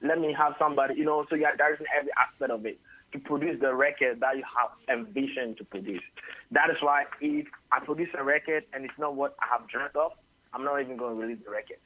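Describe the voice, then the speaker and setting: Ghanaian man, bright tense tone, dry close sound, rambling guest. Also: mid-range voice, fast talker, no echo, low-quality recording. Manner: rambling, tags sentences with "you know". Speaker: singer-songwriter and label head. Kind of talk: radio interview, casual.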